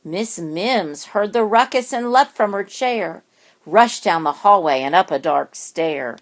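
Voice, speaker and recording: A woman's voice: mid-range voice, expressive. A woman reading a rhyming children's picture book aloud, expressively and with dramatic emphasis on words. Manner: deliberate, dramatic word emphasis.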